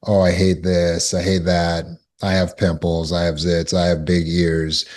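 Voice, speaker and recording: Male self-help coach, very deep voice, slow and deliberate, repeats affirmations. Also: monotone, darkish tone. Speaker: trauma recovery coach. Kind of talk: self-help teaching talk.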